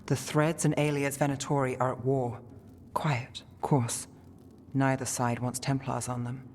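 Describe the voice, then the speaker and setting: A man's voice: mid-range voice, flat delivery, a little slow, a little mellow, a little hiss or hum, lightly acted. A man playing a weary, worried city investigator in a video game cutscene, dry and guarded with a clipped delivery. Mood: grave, focused.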